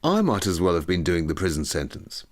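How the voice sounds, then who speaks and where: Guy reading as a plain-spoken man recalling his past for an audiobook, lowish, earnest, matter of fact. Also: very animated, fairly quick. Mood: sober, candid. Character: reflective, plain-spoken man giving personal testimony.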